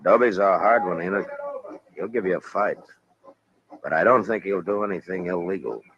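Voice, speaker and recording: A low, steady American voice of a watchful frontier marshal and peacemaker. He speaks plainly and with restraint, in 1950s radio drama style on a muffled, hissy old recording. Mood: firm. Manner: measured, plain-spoken, understated.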